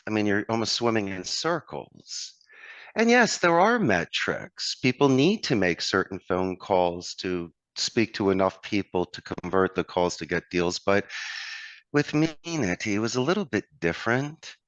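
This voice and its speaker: A man with a Philadelphia accent speaks in a very low voice, expansive and motivational. His sound is dry and a bit dull, like a remote call recording. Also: big pitch swings, unhurried, a bit bright, dry recording. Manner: expansive, motivational, addresses host by name. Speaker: call center owner, guest. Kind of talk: conversational interview.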